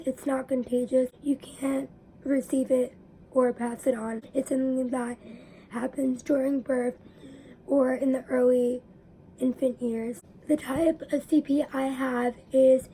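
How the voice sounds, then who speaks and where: A young woman vlogging to her viewers, her voice raspy from allergies, explaining earnestly and sometimes dropping to a whisper. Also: high voice, warm tone, slight background noise, low-quality recording. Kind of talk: personal talk to camera.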